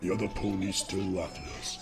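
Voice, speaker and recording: A man's voice: sits low, steady tone, fairly quick. A man playing a grown pony king of monsters who recounts his lonely childhood in a solemn, wistful storytelling style. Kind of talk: dramatic first-person storytelling. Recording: music under the voice. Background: music.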